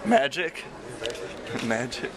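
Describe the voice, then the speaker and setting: A young man chatting and joking to a handheld camera in a dark auditorium, laughing often between lines. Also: medium pitch, big pitch swings, takes it slowly. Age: young adult. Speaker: vlogger. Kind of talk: casual vlog chat to camera. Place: dark school auditorium at a band concert. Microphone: handheld camera at arm's length. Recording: noisy crowded auditorium camcorder audio. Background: audience chatter and room noise.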